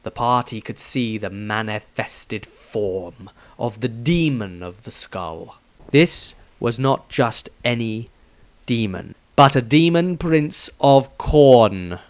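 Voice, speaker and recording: A man with a medium-pitched voice narrates a fantasy adventure. The reading is scripted and dramatic, in the style of an author telling his own story.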